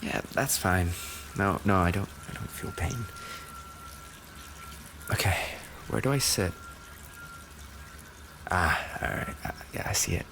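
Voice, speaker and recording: A man playing an affectionate, injured racing driver boyfriend in an intimate roleplay audio, speaking softly and tenderly, often close to a whisper. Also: low-pitched, slow pace, gravelly, long pauses, slight background noise, heavily acted. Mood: relaxed, affectionate.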